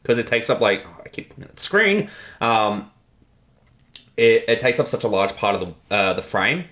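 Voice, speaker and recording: A man's voice: on the low side, sing-song, moderate pace, a bit bright. Enthusiastic man chatting through a commentary. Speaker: host and director of a fan parody series. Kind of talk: casual director's commentary.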